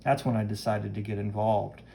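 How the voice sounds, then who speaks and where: A man's voice: on the low side, flat delivery, moderate pace, gravelly, dark tone, rough recording. A man voicing a cocky, chivalrous young superhero, reading comic-book lines aloud in a brash, dramatic manner. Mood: earnest. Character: cocky, chivalrous young male superhero who wields flame.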